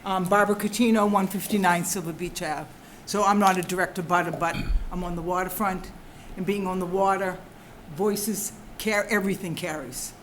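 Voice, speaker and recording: An older woman, a resident and former teacher, giving public comment at a town board meeting in a loud, direct, teacherly voice. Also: fairly low pitch, steady tone, normal speed, slight background noise, poor audio. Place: town meeting hall at a podium.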